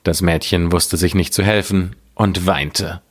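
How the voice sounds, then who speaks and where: A man narrating a scripted fairy tale as a storyteller, in a measured, deliberate way. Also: low voice, fairly even intonation.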